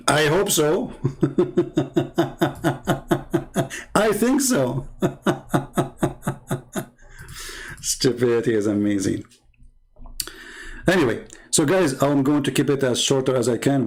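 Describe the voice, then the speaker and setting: Middle-aged man, Arabic accent, sarcastic online debater, mid-pitched and scornful. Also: darkish tone. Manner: mocking, scornful, sarcastic laughs. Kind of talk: combative commentary while reading comments.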